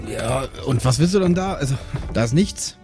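A man's voice: medium pitch, expressive, normal speed, mellow, faint music behind. A man improvising as a player in a role-playing show, chatting colloquially and thinking aloud with some hesitation. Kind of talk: improvised casual chat.

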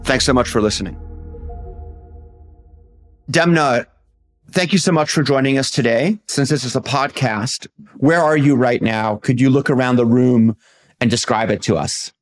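A man's voice: medium pitch, normal speed, pauses often, soft background music. A man hosting a podcast, reading a polished scripted introduction and then asking courteous interview questions. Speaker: podcast host.

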